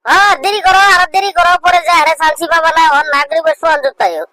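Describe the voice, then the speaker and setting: Cartoon young male swindler, played by a woman: high, quick, pushy and scheming. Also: animated, bright, forceful tone, no echo, full character voice. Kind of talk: cartoon comedy dialogue. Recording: some background noise.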